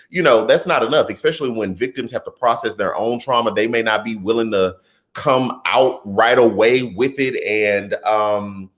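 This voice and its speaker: A man in his thirties hosting a live political commentary stream, chatty and animated, reading viewer comments and riffing between stories. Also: mid-range voice. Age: 30s. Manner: animated and conversational, drifts into chatty asides and laughs easily. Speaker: political talk show host.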